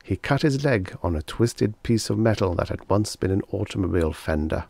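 Low-voiced male audiobook narrator. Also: vocal fry, no room sound. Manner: measured, expressive reading. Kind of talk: scripted story narration.

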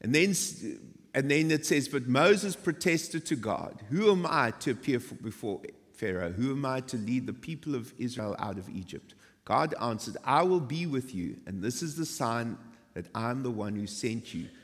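A man's voice: medium pitch, moderate pace. Man preaching in a reverberant church hall. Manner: animated storytelling with self-deprecating asides. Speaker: pastor. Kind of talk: sermon. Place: church stage with stage lighting.

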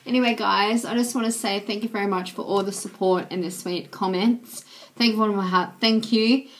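A young woman with a cold, chatting casually to her webcam in a small room at home, soft and hoarse, with many ums. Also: mid-range voice, fairly even intonation, moderate pace, slight background noise. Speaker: YouTuber. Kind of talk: casual vlog chat to camera. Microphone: webcam at close range.

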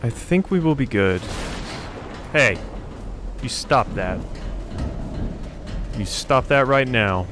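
A man's voice: medium pitch, noisy background. A man giving casual game commentary, musing to himself as he works things out. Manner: thinking aloud, musing to himself. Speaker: let's play host.

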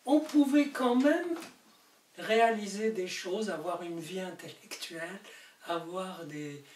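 A man's voice: high voice, slow, a little mellow. An older man from Morocco, a native Arabic speaker, explaining his book to camera in a study, talking animatedly with emphatic peaks. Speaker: author. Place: book-lined study indoors. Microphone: camera mic from a distance. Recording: roomy distant camera audio with hiss.

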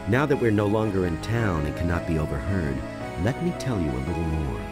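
An actor playing a wry, seasoned rogue who talks in a familiar, explanatory way in a video game. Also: male voice, sits low, sing-song, a little fast, loud music behind the voice. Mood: explaining. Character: wry, seasoned rogue, old comrade and guide.

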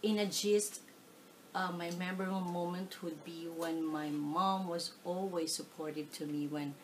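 A middle-aged woman from the Philippines with a Filipino accent, answering oral history questions in a living room, animated, with many fillers. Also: on the low side, normal speed, a little hiss or hum. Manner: animated, gestures while talking, frequent fillers. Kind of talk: casual oral history interview. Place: living room, seated on a leather sofa.

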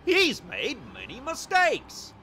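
A man's voice: extremely high pitch, wildly swooping pitch, slow pace, sharp tone, a little hiss or hum, exaggerated voice. A man voicing an unhinged, menacing cartoon dog debt collector in a comedy skit, full of melodramatic threats. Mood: brooding, vengeful.

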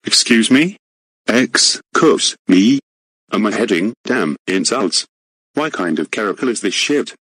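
A man voicing a hot-tempered, rich boss who swears and shouts indignantly in amateur voice acting. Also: fairly low pitch, expressive, moderate pace, husky, no echo.

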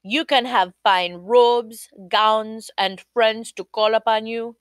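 A woman voicing a young, boastful king who gives loud, bossy commands. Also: on the high side, fairly even intonation, takes it slowly, clear, resonant tone, dry recording, studio quality. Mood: commanding.

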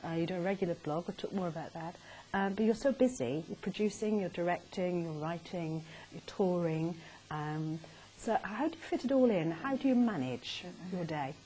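A woman's voice: lowish voice, animated, moderate pace, dark tone. A middle-aged woman hosting an informal live stage interview in a chatty way. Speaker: interviewer, host. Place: small arts centre stage, indoors. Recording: faintly noisy live stage recording.

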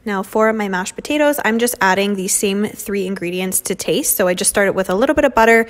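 A woman's voice: medium pitch, a little fast. A young woman in her twenties giving a casual, chatty cooking voice-over full of "um" and "like" fillers. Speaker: beauty vlogger cooking at home. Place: home kitchen.

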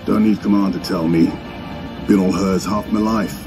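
An actor playing a big, easygoing veteran super-soldier in a game, plain-spoken and unhurried. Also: male voice, deep voice, steady tone, over music. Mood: wry. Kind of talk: video game cutscene acting.

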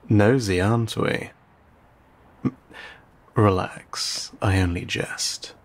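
A man playing an old vampire in an intimate audio roleplay, courteous and wry, with a husky, breathy voice and archaic, theatrical phrasing. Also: fairly low pitch. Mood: playful, courteous. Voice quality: husky, gravelly, often dropping to breathy whisper. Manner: archaic, formal, theatrical, teasing, halting.

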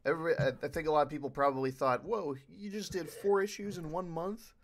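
A man's voice: on the high side, fairly even intonation, a little fast. A man speaking casually as a panelist in a Q&A, rambling with enthusiasm. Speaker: panelist, video editor for a fan dub group.

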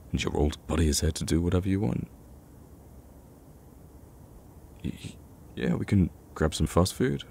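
A man playing a betrayed, protective young male friend in an emotional audio roleplay, speaking haltingly with sighs and stammers. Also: low-pitched, gravelly, darkish tone, slight background noise. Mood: soothing. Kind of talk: emotional ASMR roleplay acting.

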